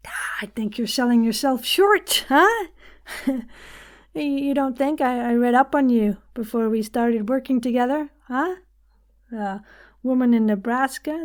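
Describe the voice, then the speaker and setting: A woman's voice: fairly high pitch, unhurried, close to an everyday voice. A woman playing a persuasive, good-humored partner in an audio drama, conversational and insistent. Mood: teasing, insistent.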